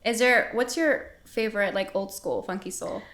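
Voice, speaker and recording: A woman hosting a casual interview show, encouraging and quick to laugh. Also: medium pitch, a bit bright, echoey room. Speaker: host.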